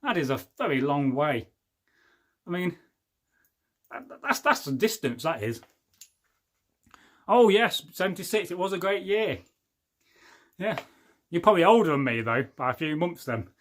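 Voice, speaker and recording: A man in his forties with a voice a little higher than most men's. He hosts a relaxed livestream, chatting in a rambling, jokey way and often losing his thread as he reads out viewers' comments. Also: measured pace, long pauses. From England. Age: 40s. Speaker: livestream host. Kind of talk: casual livestream chat reading viewer comments.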